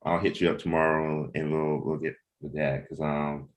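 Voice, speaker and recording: A man's voice: very deep voice, little pitch movement, moderate pace. A man hosting a casual livestreamed team chat, speaking informally and a little hesitantly as he thinks aloud. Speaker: host and comic creator leading a cast meeting.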